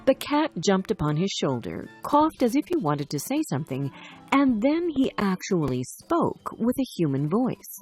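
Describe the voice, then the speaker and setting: A woman's voice: medium pitch, very animated, normal speed, a little mellow, breathy edge. A woman reading a scripted children's story as the storyteller. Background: faint music.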